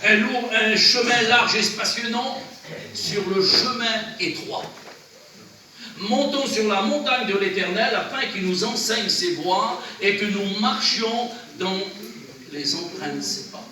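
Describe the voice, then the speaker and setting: A man's voice: sits high, slow pace, bright, forceful tone. A man preaching a sermon in church, who has a cold and a runny nose, exhorting earnestly in short phrases. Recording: very echoey church, from far off, noisy.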